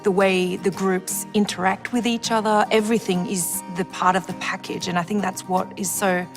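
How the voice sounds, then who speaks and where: A woman's voice: mid-range voice, little pitch movement, normal speed, over music. A woman explaining things in a casual interview, in a reflective way. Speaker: singer, interviewee.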